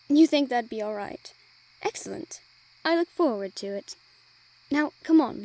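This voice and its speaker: A woman playing a friendly female merchant in a fantasy audio drama, gently teasing, caring and encouraging as she talks to the listener. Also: fairly high pitch, close to an everyday voice, poor audio. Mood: playful, warm.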